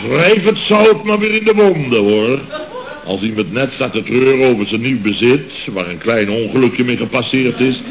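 An actor playing a blustering, pompous middle-aged boss of a building firm in a radio comedy serial, stammering and repeating himself when flustered. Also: male voice, medium pitch, animated, normal speed, crowd noise, lightly acted. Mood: defensive. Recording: noisy, dull vintage radio recording.

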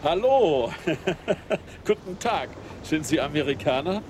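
Chatty widowed tourist, lively pitch, noisy outdoor ambience. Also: male voice, slow pace. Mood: friendly. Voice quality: talkative, friendly, lively. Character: chatty middle-aged American widower tourist. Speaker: hotel guest. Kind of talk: 1980s radio play acting.

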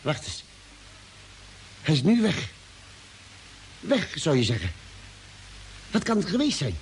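An actor playing a cautious, pondering doctor on a space expedition in a radio drama. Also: male voice, a little high, wildly swooping pitch, takes it slowly, heavily acted. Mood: wary, pondering. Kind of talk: 1950s radio drama acting. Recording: old radio recording, faint hiss.

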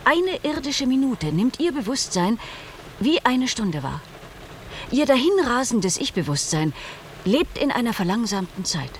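Through clear background noise comes a composed woman scientist in a radio play. She explains her ideas earnestly and precisely, in formal sentences. Her intonation moves widely while she keeps her measured, serious manner. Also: a little high, big pitch swings. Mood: insistent, explanatory. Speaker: radio drama actress. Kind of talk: German radio play acting.

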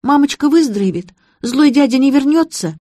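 Woman acting a high, childlike, whimpering young woman. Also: lively intonation, normal speed, mellow, dry recording, clean studio sound. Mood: tearful. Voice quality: squeaky, whiny. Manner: childish, pleading speech. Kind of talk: audiobook character voice.

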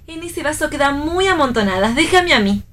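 An Argentine woman playing a brisk, playful and blunt pregnant young daughter in a theatrical radio play reading. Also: high voice, expressive, fairly bright tone.

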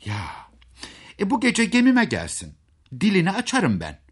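Storybook princess, played by a male narrator: young, proud, hot-tempered, scolding, raised pitch with big swings. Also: huge pitch swings, a little slow, breathy edge, full character voice. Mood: boldly confident. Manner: indignant, scolding.

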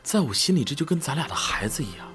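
Man playing brash rich young time-traveller, highly animated delivery, cocky wisecracks, audio drama, music underneath. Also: fast, natural voice. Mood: frank, teasing. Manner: cocky, wisecracking, swings into tearful pleading. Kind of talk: Chinese audio drama acting with first-person narration. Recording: music behind the voice.

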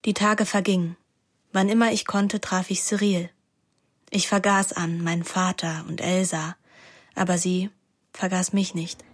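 An actress playing an introspective young woman narrating a literary radio play in a measured, languid manner. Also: lowish voice, little pitch movement. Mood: reflective. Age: young adult. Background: music.

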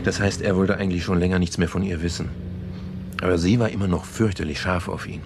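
An actor playing a man who earnestly and haltingly retells a story, in a naturalistic German radio play. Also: sits low, little pitch movement, background noise. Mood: earnest storytelling. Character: man haltingly retelling a novel to a sceptical woman.

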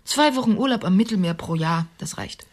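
A woman's voice: mid-range voice, animated, a little fast, a little mellow, natural voice. An actress playing an older, sober working woman in a radio drama, speaking in a dry, formal, reserved way with tenderness underneath.

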